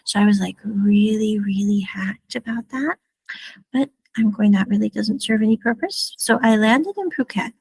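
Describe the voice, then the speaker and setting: Gravelly, husky woman telling a travel story. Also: mid-range voice, moderate pace, darkish tone, dry recording. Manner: rambling, self-correcting, chatty asides. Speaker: podcast host.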